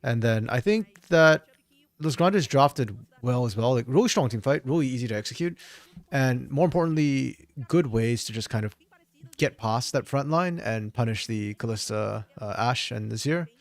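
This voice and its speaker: A man with a slightly hoarse voice, reviewing a match on his own in a casual, think-aloud way with frequent restarts. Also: mid-range voice, animated, normal speed, no echo. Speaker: esports caster doing a solo VOD review. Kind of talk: casual solo game analysis commentary.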